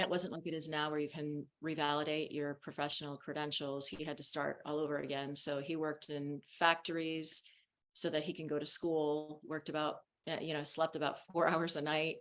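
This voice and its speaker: A woman's voice: deep voice, fairly bright tone. A woman whose first language is Spanish, chatting as an interview guest and laughing often. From United States. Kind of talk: casual interview talk.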